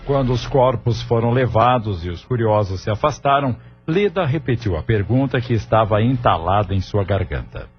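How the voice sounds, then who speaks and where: A man's voice: on the low side, animated, normal speed, hardly any pauses. A man with a Brazilian accent reading scripted narration for an audio drama. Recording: dull lo-fi audio with music bed.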